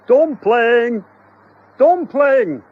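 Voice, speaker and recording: A man voicing a shrill, panicky, bossy wife in a screeching falsetto. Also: expressive, very slow, breathy edge, phone-quality sound, exaggerated voice. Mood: exasperated. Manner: bossy, exasperated.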